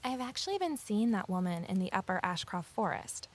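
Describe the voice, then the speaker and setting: Plainspoken woman, lively pitch. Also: brisk. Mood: suspicious. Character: reserved, practical, watchful woman tour guide. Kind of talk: audio drama acting.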